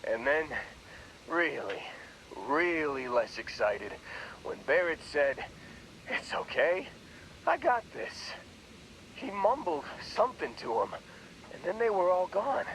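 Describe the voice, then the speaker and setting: Groggy young spacefarer, video game dialogue, slow pace, wry and hesitant, rambling, noisy background. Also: male voice, on the high side, sing-song, a bit bright, full character voice. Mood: sheepish, wry. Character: battered, rambling young male space miner on painkillers.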